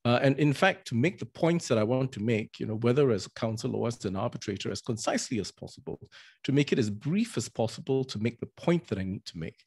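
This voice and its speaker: A middle-aged man from Singapore talks earnestly over a video call, pitched at an ordinary middle register. He often restarts his phrases and adds fillers as he explains his points. His voice comes through a webcam microphone. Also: animated, fast, vocal fry, darkish tone, husky. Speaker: barrister and arbitrator. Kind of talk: webinar talk. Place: indoors with virtual green backdrop.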